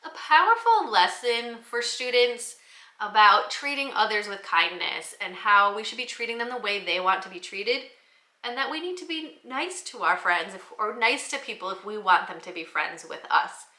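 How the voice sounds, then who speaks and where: A woman's voice: medium pitch, lively intonation, moderate pace, pushed and bright, echoey room. A woman giving an informal instructional talk as an educator, in an explanatory, conversational way. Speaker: educator presenting classroom book recommendations.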